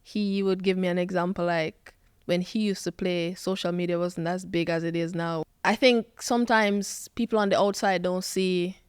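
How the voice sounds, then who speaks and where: A woman's voice: lowish voice, normal speed, clear, resonant tone, no echo, clean studio sound. A Jamaican woman in her twenties, a footballer telling stories as a podcast guest and acting out what people said. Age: 20s. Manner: animated storytelling, acts out quoted lines. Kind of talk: podcast interview.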